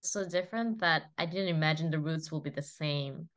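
Woman, lowish voice. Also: normal speed. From South Korea. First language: Korean. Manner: hesitant, searching for words, enthusiastic. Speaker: guest dancer. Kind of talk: casual interview chat.

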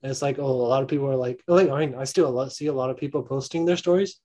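A young man, a college student, chatting as a podcast guest, eager and quick to jump in. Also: mid-range voice, dark tone. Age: young adult. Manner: quick, eager, jumps in over the host. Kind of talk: casual podcast chat.

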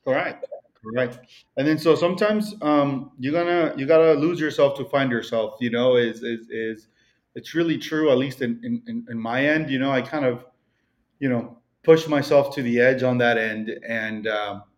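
A man's voice: medium pitch, moderate pace. A man with a Mexican accent, a real estate broker talking as a guest in a casual interview, rambling with many fillers and restarts.

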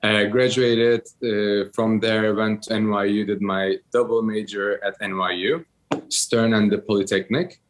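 A man's voice: on the low side, level tone, a little slow. A man in his thirties from Turkey, answering interview questions casually with many ums and restarts. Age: 30s. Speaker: interview guest, entrepreneur.

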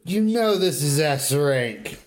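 A man's voice: fairly high pitch, lively intonation, takes it slowly. A man co-hosting a podcast, talking about games in casual, slangy, emphatic fan talk. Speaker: podcast co-host. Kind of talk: casual gaming chat.

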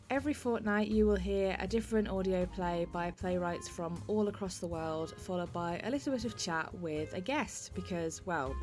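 A woman's voice: fairly low pitch, darkish tone. A woman with a British accent hosting a podcast in a wry, self-deprecating way, partly scripted and partly chatting. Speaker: podcast host. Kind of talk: scripted introduction and friendly interview.

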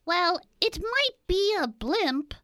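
A woman's voice: very high-pitched, big pitch swings, slow pace, a bit bright, dry recording, studio quality, full character voice. A woman playing an eager childlike character in a children's audio play. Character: eager childlike character, kid or talking toilet. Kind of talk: children's audio theater acting.

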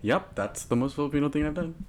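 A man's voice: a little high, expressive, fast, darkish tone, slight background noise. A young man, a podcast co-host, chatting casually with laid-back, dry short remarks.

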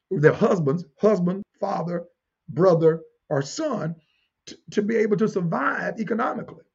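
A man delivers a teaching sermon in a high-set voice that is markedly hoarse-edged and full of gravelly creak. He is deliberate and emphatic, circling back to key phrases and putting questions to his listeners. The recording sounds somewhat dull. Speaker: preacher.

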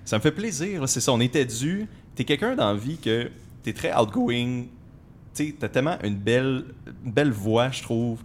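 A man's voice: mid-range voice, animated, moderate pace, a little hiss or hum. A young man, hosting a podcast interview in a playful, joking way. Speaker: podcast host. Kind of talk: casual interview chat.

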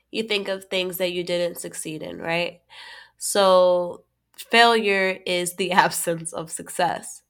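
A woman co-hosting a casual podcast chat, stuffy from a cold, animated and quick to laugh, often restarting her sentences. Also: fairly low pitch. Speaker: podcast co-host.

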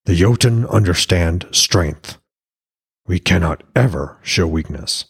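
A man's voice: low voice, animated, slow pace, husky, full character voice. A man voicing a stern, commanding Norse god-king and father in a fantasy audiobook.

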